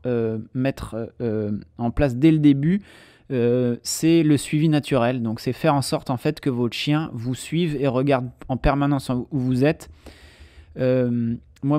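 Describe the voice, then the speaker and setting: A man's voice: medium pitch, mellow, dry recording, studio quality. A man hosting a solo podcast, explaining casually with many hesitations and fillers. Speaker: podcast host.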